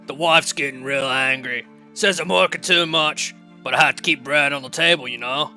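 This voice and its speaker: A man's voice: on the high side, moderate pace, bright, forceful tone, faint music behind. A man acting as a weary, disgruntled blacksmith in an audio drama, with blunt, grumbling working-man talk. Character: weary, disgruntled overworked male blacksmith, gruff and plain-spoken.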